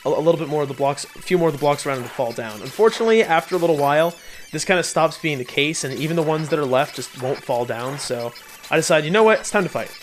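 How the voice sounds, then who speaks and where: A man's voice: a little high, expressive, fast talker, slight background noise. A man hosting a let's play with casual, rambling, jokey and self-deprecating commentary over game music. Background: video game music.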